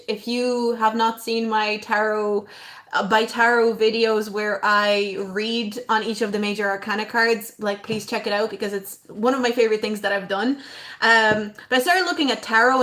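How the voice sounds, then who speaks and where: A young woman hosting an astrology livestream, whose first language is not English, talking in a rambling, reflective way with fillers and soft laughs. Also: medium pitch. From outside Ireland, moved there at eleven. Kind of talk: casual livestream talk.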